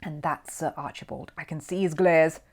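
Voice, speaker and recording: A woman's voice: low voice, steady tone, moderate pace, darkish tone. A woman voicing a working-class male hospital porter in a children's story, colloquial, matter-of-fact and bemused. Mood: hushed.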